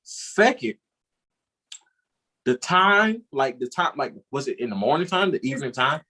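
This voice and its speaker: A man's voice: mid-range voice, very animated, unhurried, pushed and bright. A man hosting a casual conversation, laid-back and swearing freely. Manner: laid-back, casual swearing, "you know what I'm saying". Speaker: host.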